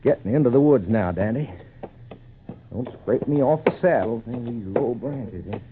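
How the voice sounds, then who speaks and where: A man acting a polite, assured lawyer in a 1940s radio play. His medium-pitched voice comes through a tinny recording with some noise behind it. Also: lively intonation, normal speed, lightly acted. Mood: easygoing. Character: calm, courteous city lawyer.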